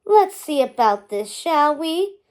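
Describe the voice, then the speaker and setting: A woman's voice: high-pitched, very animated, slow, darkish tone, heavily acted. A woman voicing a cruel, self-satisfied stepmother in a storybook, with a taunting manner. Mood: smug, menacing. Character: scheming cruel stepmother, middle-aged woman, mocking.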